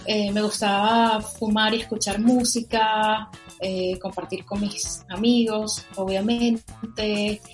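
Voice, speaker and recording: A woman's voice: medium pitch, slow pace, soft background music. A young Venezuelan woman with a Venezuelan accent, chatting enthusiastically as a guest on a podcast, with lots of fillers. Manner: enthusiastic, many fillers, animated. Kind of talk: casual podcast interview.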